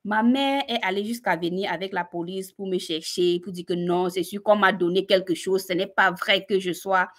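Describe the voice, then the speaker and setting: A woman with a Cameroonian French accent telling her personal story on a live stream, animated and talking straight to her listeners. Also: medium pitch, moderate pace, few pauses.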